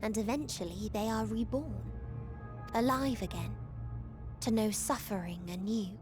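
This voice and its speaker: Otherworldly girl woman actor, game cutscene: solemn, melancholy, softly spoken, middle pitch, with clear music. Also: unhurried, close to an everyday voice. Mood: sorrowful conviction. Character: melancholy otherworldly girl preaching salvation through nonexistence.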